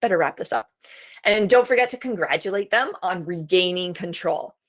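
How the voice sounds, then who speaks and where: A woman's voice: fairly low pitch, expressive, normal speed. A woman presenting a homeschooling webinar in a reassuring, chatty way, with frequent fillers. She is starting to lose her voice. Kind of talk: informal instructional webinar talk.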